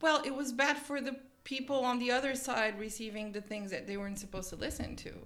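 A woman's voice: a little high, flat delivery, a little fast, fairly bright tone. A Portuguese-born woman in her thirties, whose first language is Portuguese, co-hosting a podcast as casual, giggly workplace chat. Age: 30s. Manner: giggly and chatty. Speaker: podcast co-host.

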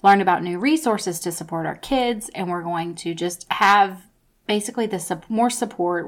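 A woman in her thirties hosting a podcast, talking earnestly and thinking aloud with fillers. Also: fairly low pitch. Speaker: podcast host. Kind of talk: conversational podcast talk.

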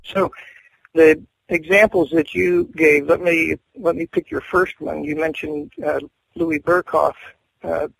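A middle-aged man, a professor, answers interview questions in a measured, thoughtful way. His voice is deeply gravelly and husky, and he pauses often to work through his ideas. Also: poor audio. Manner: measured, thoughtful, many fillers and restarts. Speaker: seminary professor, guest.

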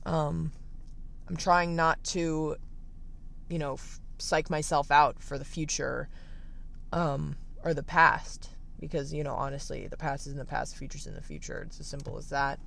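A teenage man with a raspy, gravelly voice that sits a little high for his age. He talks candidly and reflectively, circling back with fillers and restarts. It sounds like a casual phone livestream. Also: fairly even intonation, moderate pace, a bit bright, a little hiss or hum. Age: late teens. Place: at the beach.

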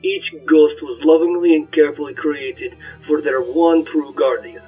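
A man's voice: fairly high pitch, fairly even intonation, normal speed, slightly rough. A man voicing an earnest, devout and self-important robotic ghost companion, speaking in a solemn, preachy way through a radio-like filter. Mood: reverent. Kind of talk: audio drama dialogue. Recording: muffled radio-like sound, distant, music.

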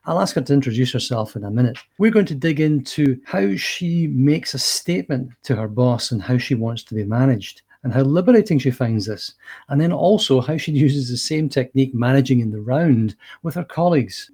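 A man's voice: medium pitch, animated, moderate pace, dark tone, slightly rough. A man hosting a leadership podcast interview, asking questions and joking with his guest. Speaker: podcast host.

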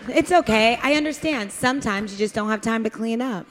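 In a reverberant hall, a woman plays a nervous, scattered waitress with a fairly high voice. She chatters on, eager to please, in an improvised radio comedy. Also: a little fast, natural voice. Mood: chatty, nervous. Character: flustered, chatty, lonely diner waitress on rollerblades.

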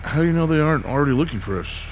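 A man's voice: on the high side, lively intonation, very fast, close to an everyday voice, rough recording. An actor playing an anxious, wisecracking young man on the run in a radio play, questioning and exasperated. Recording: heavy background vehicle noise.

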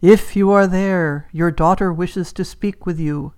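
A woman voicing a mysterious, grandiose spirit medium in an audiobook, speaking in a dramatic, deliberate way. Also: on the low side, darkish tone, clear, resonant tone, lightly acted. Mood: solemn, trancelike. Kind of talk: audiobook character acting.

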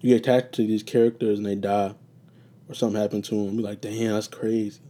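A young man hosting a solo casual talk show, rambling with loose, profane chatter and many fillers, his voice getting raspy and sleepy. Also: lowish voice, level tone, fast talker, mellow. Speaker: podcast host.

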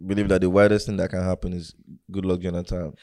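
A man's voice: sits low, little pitch movement, fast talker, a little mellow, no echo, clean studio sound. A young man in casual political chat, slangy and calling his friends bro.

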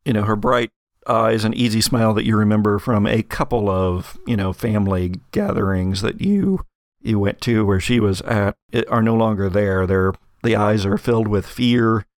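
A man with a fairly low, creaky voice runs a tabletop game. He improvises the narration in a halting, conversational way. The sound is close and dry. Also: dry recording. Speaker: game master.

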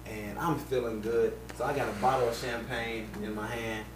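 A man's voice: medium pitch, normal speed. Hype podcast guy, swears a lot, sounds far off the mic w/ a bit of room echo and hum. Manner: loud, excitable, swears freely. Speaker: podcast co-host. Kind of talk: casual chat.

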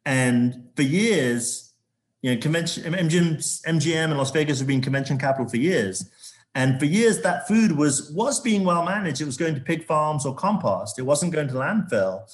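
A man from Zambia, a corporate sustainability executive, talking as an interview guest with a halting delivery full of restarts. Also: a little high, expressive. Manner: halting, many restarts and fillers.

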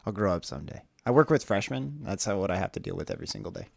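A man's voice: deep voice, racing, gravelly. A man co-hosting a casual podcast, a teacher chatting and laughing as he tells stories. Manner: laughing, animated storytelling. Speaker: podcast co-host. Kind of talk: casual chat.

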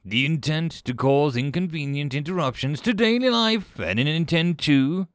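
A man's voice: a little high, lively intonation, normal speed, dry recording, studio quality, pitched above the performer's own voice, lightly acted. A man voicing a grave, suspicious insect-like alien who speaks formally and precisely in a narrated science fiction story. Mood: grim.

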